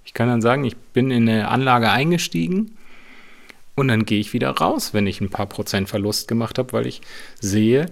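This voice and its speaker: A man explaining patiently and conversationally, measured and checking back with the listener. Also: medium pitch, gravelly, no echo. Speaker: investment explainer. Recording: music bed under voice. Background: drum music.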